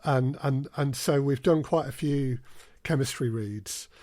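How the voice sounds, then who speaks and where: A man's voice: mid-range voice, a little slow, warm tone, no room sound, studio quality. A man talking conversationally as an interview guest, explaining his work through anecdotes. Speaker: podcast guest, audio drama producer.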